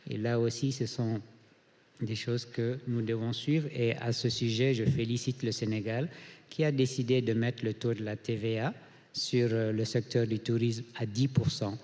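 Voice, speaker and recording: A man from Mali speaking on a conference panel as a hotel group founder, measured with frequent hesitations. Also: medium pitch, level tone, darkish tone. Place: conference hall. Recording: reverberant conference hall.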